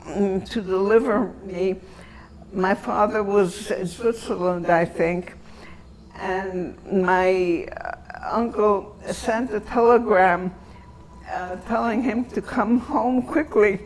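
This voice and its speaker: An elderly woman from Antwerp whose first language is German, reminiscing in an interview and searching for her words with long pauses. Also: mid-range voice, a little mellow, slight background noise, low-quality recording. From Antwerp, Belgium.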